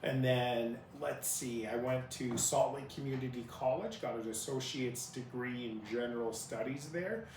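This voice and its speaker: Male interview guest, thirties, native Arabic speaker raised in Utah, mid-pitched, echoey room, set back from the mic. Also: a little slow, hardly any pauses, a little mellow. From born in Iraq, grew up in Utah. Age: 30s. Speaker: interview guest, family lawyer. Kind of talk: conversational life-story interview. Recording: roomy, distant mic with faint noise.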